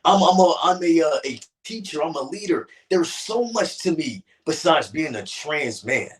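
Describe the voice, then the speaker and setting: Earnest man, fairly high for a man, a bit off-mic; conversational interview guest. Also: expressive, moderate pace, recorded from a distance. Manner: earnest, repeats words at starts, says "you know what I'm saying". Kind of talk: casual interview talk and poetry reading.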